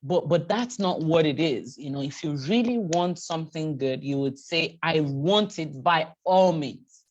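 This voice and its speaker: A middle-aged woman with a notably low voice, whose first language is Yoruba, talks someone through their doubts. She repeats her points and leans on everyday stories to encourage. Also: measured pace, darkish tone, highs cut off. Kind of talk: one-on-one coaching chat.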